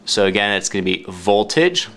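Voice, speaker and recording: A man's voice: on the low side, animated, normal speed, a bit bright. A man narrating a step-by-step product tutorial in an informal, explanatory way, repeating key points for clarity. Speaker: product demonstrator.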